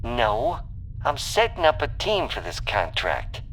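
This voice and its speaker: A man's voice: medium pitch, wildly swooping pitch, slow pace, a bit bright, a little hiss or hum, pitched above the performer's own voice, heavily acted. A man voicing a smug, curt, pushy job broker on a call in a science fiction audiobook. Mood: smug, threatening.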